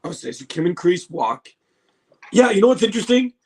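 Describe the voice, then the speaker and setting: A hoarse man hosting a casual livestream reaction, excitable and laughing, swearing now and then, recorded on a phone. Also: sits high, fairly quick. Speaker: livestream host.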